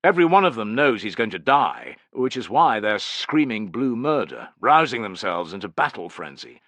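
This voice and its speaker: Male narrator as veteran Roman centurion, gruff, blunt, terse, dutiful, tense delivery, audiobook drama. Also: on the low side, very animated, normal speed, fairly bright tone, no room sound. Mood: restrained frustration. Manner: terse, restrained, respectful to superiors. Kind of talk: dramatic audiobook character acting.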